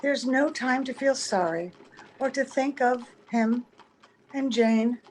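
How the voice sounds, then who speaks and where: A woman playing a wistful, gentle, secretly sad young-looking woman in an old-time radio drama. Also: high voice, slow. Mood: resigned. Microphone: video call. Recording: faintly noisy video-call recording.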